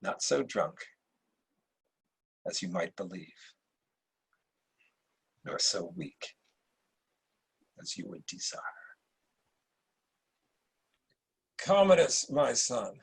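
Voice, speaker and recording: Man acting a husky, dying old Roman emperor. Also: on the low side, sing-song, pitched below the performer's own voice, exaggerated voice. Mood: weak, solemn. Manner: halting, weighty, declamatory delivery. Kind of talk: dramatic table-read acting.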